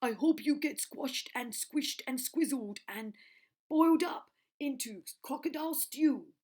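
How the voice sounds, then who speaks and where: A woman voicing a big, indignant storybook elephant who scolds loudly in outrage. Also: high voice, animated, measured pace, voice pushed up. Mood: furious scolding.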